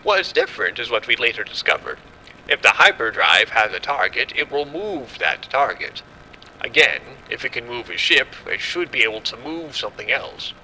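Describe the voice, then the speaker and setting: Confident male scientist played by a male actor for audio drama; measured, explanatory, very sharp and forceful, faint ambient noise. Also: medium pitch, sing-song, poor audio. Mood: assured, explanatory. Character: confident male scientist running a secret military experiment.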